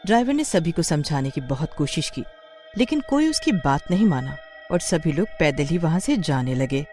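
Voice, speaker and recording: Female horror narrator: scripted storytelling, animated intonation, lowish warm tone. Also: normal speed, faint music behind.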